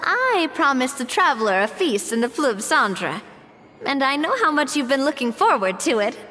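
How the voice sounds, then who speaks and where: A woman's voice: high voice, sing-song, moderate pace, pushed and bright, in a large hall, a little hiss or hum, full character voice. A woman voicing an upbeat, generous female event organizer in a video game. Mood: cheerful.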